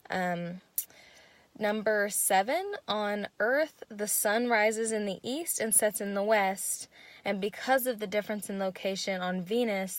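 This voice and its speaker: A young woman with a self-described squeaky voice talks through a recorded class presentation. She counts off her points one by one, often pausing on an um. Her pitch sits in an ordinary middle range, and the sound is dry and close with no room echo. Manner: reads points in order with frequent ums. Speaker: student presenter.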